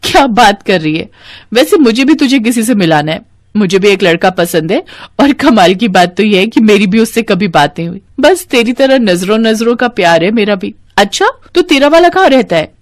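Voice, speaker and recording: An eager, lovestruck young woman, acted out by a female storyteller, chatty and expressive. Her pitch swings widely through the lines of a moral tale. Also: moderate pace, dry recording, clean studio sound, natural voice. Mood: curious, teasing. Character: excitable young twin sisters, lovestruck and eager. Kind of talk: dramatized Hindi moral story.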